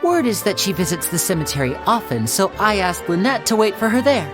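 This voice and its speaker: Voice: medium pitch, very animated, brisk, music in the background, natural voice. An actor playing a suave, courteous young male stage magician in an anime-style video game, with theatrical, polite delivery. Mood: playful, intriguing.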